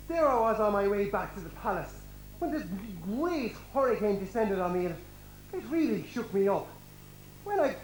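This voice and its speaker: A man's voice: sits high, a little hiss or hum. A man playing a cowardly, nervous royal servant in an amateur stage pantomime, stuttering, exclaiming and repeating himself. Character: jittery, cowardly, food-loving royal servant called Windbag. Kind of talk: broad amateur pantomime acting. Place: hall stage. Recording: echoey stage recording.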